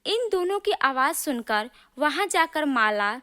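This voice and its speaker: A woman's voice: sits high, big pitch swings, measured pace, pushed and bright. A woman narrating scripted stories as a storyteller. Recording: clear music bed under narration. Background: music.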